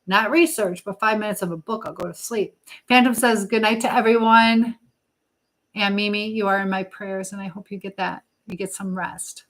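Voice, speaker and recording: A middle-aged woman hosting a casual live chat, reading out messages and giving motherly advice in a rambling, halting way. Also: mid-range voice, a bit bright, poor audio. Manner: motherly, advising. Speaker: live stream host.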